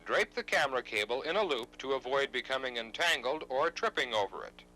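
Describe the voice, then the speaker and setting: Male instructional narrator. Scripted, formal, precise delivery, animated pitch, crisp forward brightness. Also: fairly quick, poor audio. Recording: 1970s instructional videotape narration.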